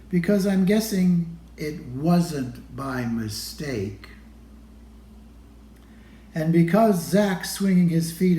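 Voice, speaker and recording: Male storybook reader for children: unhurried, soft-edged tone, slightly high pitch, expressive delivery, roomy sound. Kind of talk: expressive children's picture book read-aloud.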